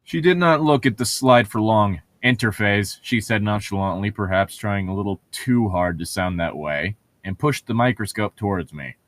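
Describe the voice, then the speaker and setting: A man with a fairly low voice, recorded close and dry, reads aloud in a casual way. He is sarcastic and swears freely when he breaks off to comment. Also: normal speed, few pauses, no echo. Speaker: co-host of a comedic read-along.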